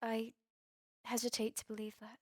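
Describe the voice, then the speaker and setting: Woman acting reserved, even-toned vampire heiress. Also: on the high side, husky, no echo, clean studio sound. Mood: guarded. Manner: measured, formal, cool. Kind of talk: scripted ASMR roleplay acting.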